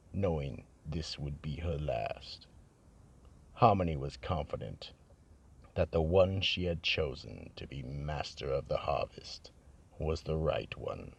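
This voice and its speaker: Man playing grave radio storyteller, gravelly, husky, solemn, scripted mystery narration. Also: very deep voice, exaggerated voice.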